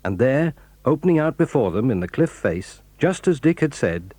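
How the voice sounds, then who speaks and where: Guy narrating a kids story tape, mid pitch, bouncy intonation. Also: sing-song, warm tone. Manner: measured, clear storytelling. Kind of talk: storybook narration for audio drama.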